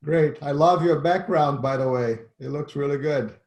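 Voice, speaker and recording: Man host, slightly high voice, roomy echo, video call. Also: moderate pace, a little mellow. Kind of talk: interview hosting.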